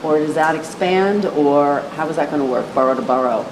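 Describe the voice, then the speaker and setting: A very low-pitched female voice comes through an echoey room with clear noise. She is a reporter posing questions at a press conference. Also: brisk.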